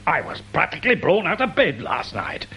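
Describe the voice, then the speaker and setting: Testy, gravelly British father. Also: a little high, big pitch swings, brisk, sharp tone, slight background noise, full character voice. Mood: indignant. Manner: blustering, testy. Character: irascible, indignant, blustering male priest or father. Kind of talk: British radio drama acting.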